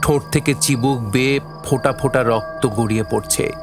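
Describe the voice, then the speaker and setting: Male narrator for a radio play: medium pitch, measured literary storytelling, faint music underneath. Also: fairly even intonation. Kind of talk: scripted story narration for audio drama.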